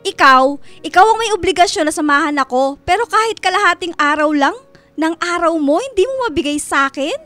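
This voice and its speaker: An actress playing a wounded, bitter teenage daughter with a Filipino accent in a radio drama. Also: female voice, very high-pitched, animated, a little fast, fairly bright tone, no echo, soft background music. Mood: angry, wounded. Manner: accusing, bitter, emotional.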